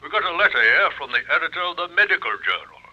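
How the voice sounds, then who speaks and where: An actor playing a brisk, deferential British police sergeant in an old-time radio drama, clipped and official. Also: male voice, on the high side, big pitch swings, lo-fi sound. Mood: businesslike.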